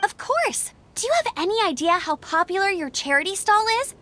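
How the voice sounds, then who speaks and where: Very high, perky young knight. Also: female voice, very animated, brisk, a bit bright, full character voice. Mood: bubbly, eager. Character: perky, enthusiastic, friendly young woman knight. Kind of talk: video game cutscene acting.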